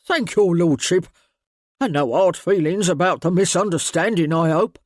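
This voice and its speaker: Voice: medium pitch, moderate pace, no echo, studio quality, lightly acted. A man voicing a belligerent, coarse working-class rat-catcher in a storybook reading. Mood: gruff, belligerent.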